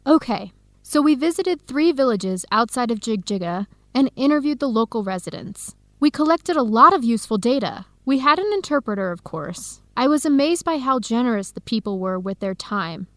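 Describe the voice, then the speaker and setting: An actress playing an earnest young American woman researcher in a radio drama, with clear, expressive, carefully enunciated speech. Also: a little high, moderate pace, dry recording. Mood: enthusiastic. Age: young adult. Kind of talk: scripted radio drama dialogue.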